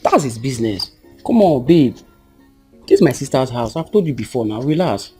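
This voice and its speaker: An actor playing a cocky, smooth-talking boyfriend with a husky voice in a screen drama. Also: male voice, medium pitch, big pitch swings, normal speed, warm tone. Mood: cocky, dismissive. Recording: music under the dialogue.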